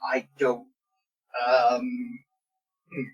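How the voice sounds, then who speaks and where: Man, very raspy, extremely animated intonation, halting, incredulous, casual comedic read-along. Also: mid-range voice, highs cut off. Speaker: reader on a bad fanfiction show.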